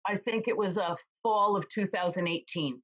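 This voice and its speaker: A woman real estate agent chatting as an interview guest, with a loud voice, telling stories lively and acting out what others said. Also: mid-range voice, moderate pace. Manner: animated storyteller, acts out quoted voices. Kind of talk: casual interview chat.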